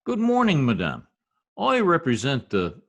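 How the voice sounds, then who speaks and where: Animated male voice, American accent, pompous bumbling census taker, acted by a man, slangy, old-time radio comedy. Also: fairly high pitch, unhurried, exaggerated voice. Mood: blustering. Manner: slangy, pompous, mangles words. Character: blustering, folksy, middle-aged American husband, bumbling census taker.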